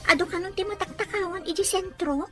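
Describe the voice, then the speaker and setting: A woman's voice: very high-pitched, fast, over music. A woman acting a fretful, concerned young friend in an Ilocano audio drama. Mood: alarmed, scolding.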